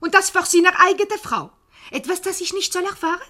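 High, melodramatic jealous Swiss wife. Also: female voice, pushed and bright. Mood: outraged, accusing. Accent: Swiss German. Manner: indignant, theatrical, quick to take offence. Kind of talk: 1970s radio comedy acting.

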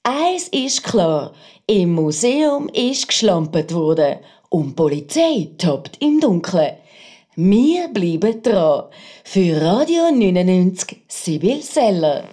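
A conceited radio crime reporter with a Swiss accent, hungry for sensation. Her husky, mellow voice swoops dramatically through each report. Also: fairly low pitch, sing-song, slow pace, full character voice. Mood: dramatic, self-important.

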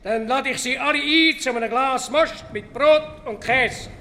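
A man's voice: very high-pitched, a little slow, sharp tone, exaggerated voice. An actor with a Swiss German accent playing a brusque, officious chairman of a village assembly in a radio play. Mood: businesslike. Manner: brusque, procedural.

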